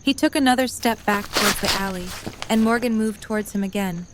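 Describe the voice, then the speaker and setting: A woman's voice: mid-range voice, a little fast, noisy background. A woman reading scripted story narration as the narrator of an audio drama.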